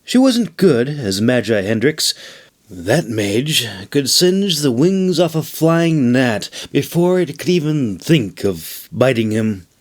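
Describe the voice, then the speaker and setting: A man reading his own fantasy novel as a scripted audiobook narration, with deliberate, measured phrasing. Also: mid-range voice, sing-song.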